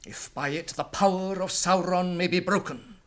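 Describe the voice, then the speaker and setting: A grave, ancient elf lord played by a man speaks in a fairly high male voice with a British accent. His delivery is formal and weighty, in the classic manner of radio fantasy drama. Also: natural voice. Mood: stern, sorrowful. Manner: formal, measured, weighty oratory.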